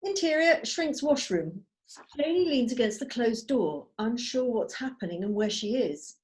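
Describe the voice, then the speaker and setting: A woman's voice: fairly high pitch, expressive, normal speed, rough recording. A woman narrating scripted stage directions at a drama table read held over a video call.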